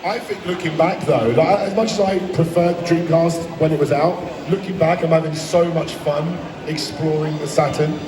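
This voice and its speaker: A man's voice: a little high, steady tone, moderate pace. Playful male panelist, far off mic, crowd murmuring behind. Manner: playful, stumbling restarts. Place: large convention hall, live panel. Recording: echoey hall from far off, crowd.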